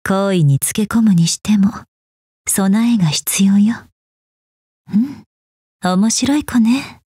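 A woman playing a serene, elegant keeper of memories in a video game, speaking softly with a poised, mysterious manner. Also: medium pitch, animated, slow pace, dry recording, studio quality, full character voice. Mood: calm, cautioning, then intrigued. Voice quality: soft, breathy. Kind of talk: scripted game voice lines.

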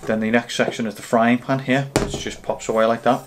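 A man's voice: mid-range voice, flat delivery, a little fast, noisy background. A man in his thirties or forties giving a casual, matter-of-fact gear review to camera. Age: 30s to 40s.

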